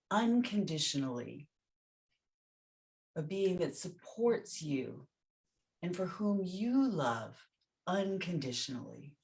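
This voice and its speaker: Slow, low-voiced woman guiding meditation. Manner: gentle, soothing, pausing between short phrases. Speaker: meditation teacher leading a guided session. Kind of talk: guided meditation.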